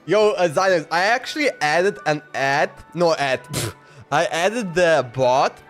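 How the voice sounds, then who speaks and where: A man's voice: on the high side, animated, slow, a bit bright, soft background music. A man streaming a game, chatting and reacting casually in an informal, repetitive way. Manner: informal, repeats himself. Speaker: live streamer.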